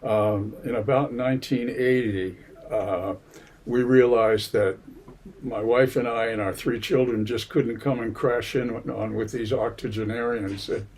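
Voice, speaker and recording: A man in his seventies from Massachusetts, giving an oral history interview in a library, unhurried and full of hesitations. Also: mid-range voice, steady tone, a little mellow, husky. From Massachusetts, USA. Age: 70s. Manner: unhurried, many hesitations and fillers. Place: public library.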